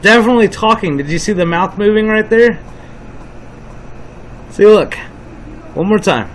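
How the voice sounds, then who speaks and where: A man with a slightly high voice hosts a video, commenting casually on footage. He talks in an informal, insistent way. There is faint noise behind him. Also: animated, normal speed. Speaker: host of a video channel.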